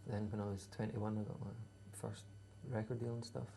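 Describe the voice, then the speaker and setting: A Scottish man in his thirties talks in an unhurried, hesitant way, with dry humour and plenty of ums. His voice sits low and flat, with a gravelly rasp and a dark, mellow tone. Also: a little hiss or hum. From Scotland. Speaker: musician guest. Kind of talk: casual interview answers.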